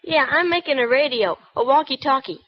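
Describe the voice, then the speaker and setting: An eager young boy speaks in a high, piping voice, blunt and slangy in a 1940s radio play. The old broadcast recording sounds dull and muffled. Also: expressive, a little fast. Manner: blunt, matter-of-fact, slangy kid talk.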